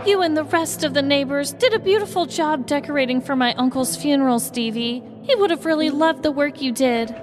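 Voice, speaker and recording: A woman's voice: high-pitched, a little fast, few pauses, lightly acted. A woman playing a young, earnest and dramatic grieving niece with an artistic streak in a comedic audio story. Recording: music bed under the voice.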